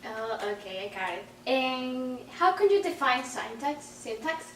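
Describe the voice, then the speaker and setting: A woman reads her interview questions hesitantly, her voice set high. She is picked up from a distance in a small, echoey room with faint background noise. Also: very animated, measured pace, a bit bright. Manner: hesitant, with fillers and restarts. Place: dim small room at home. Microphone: far camera.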